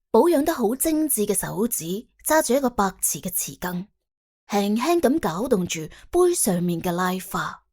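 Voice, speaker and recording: A woman narrates a comic crime story in an expressive, conversational storytelling style. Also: mid-range voice, slightly rough. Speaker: audiobook narrator and host.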